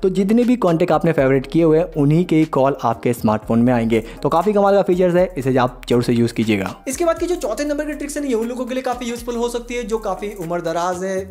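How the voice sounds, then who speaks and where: A man's voice: sits high, very animated, quick pace, hardly any pauses, a little mellow. A man hosting a smartphone tips tutorial in an informal, chatty way, talking to viewers like buddies. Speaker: tech tutorial host.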